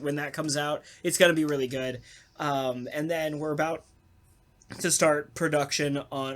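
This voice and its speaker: Podcast host just chatting, sweary n sarcastic, animated, middle pitch voice. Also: slight background noise. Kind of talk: casual talkback chat.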